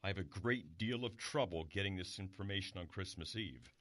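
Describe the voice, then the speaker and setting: A man's voice: low voice, fairly quick, a bit bright, no echo. A man playing a formal, insistent police detective in an audio drama, procedural and pointed. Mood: pointed, grave. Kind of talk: modern audio drama acting.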